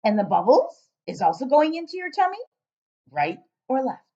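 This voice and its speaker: A woman voicing a big friendly old giant in a children's storybook, booming, emphatic and muddling words. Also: sing-song, dark tone, dull audio, full character voice. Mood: lecturing. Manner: booming, emphatic, drawn-out exclamations. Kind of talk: children's storybook read-aloud.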